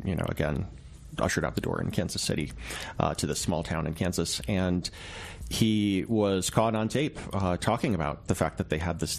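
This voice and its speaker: A man speaking as a journalist guest in a radio interview, explaining in a measured, conversational way and qualifying as he goes. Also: low-pitched, creaky.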